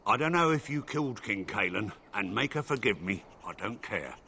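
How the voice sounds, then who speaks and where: An actor playing a desperate, gruff peasant bounty hunter in a video game, with a blunt, rustic, threatening manner. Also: male voice, lowish voice, animated, moderate pace, bright, forceful tone, a little hiss or hum, full character voice. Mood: grim threat. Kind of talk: video game character acting.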